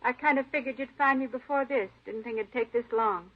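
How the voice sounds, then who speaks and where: An actress playing a weary, bitter spurned wife who speaks matter-of-factly, in a 1950s radio drama. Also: female voice, a little high, brisk, natural voice. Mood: resigned, bitter. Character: resigned, bitter spurned wife confessing to murder. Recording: muffled old radio broadcast.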